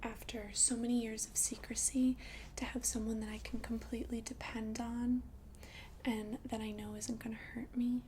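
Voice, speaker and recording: A woman voicing a friendly, considerate young vampire in a chatty ASMR roleplay, speaking in a soft, breathy half-whisper. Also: fairly high pitch, little pitch movement, moderate pace, a little hiss or hum, lightly acted. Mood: tender, confiding. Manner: chatty, hesitant, reassuring, casual. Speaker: ASMR performer.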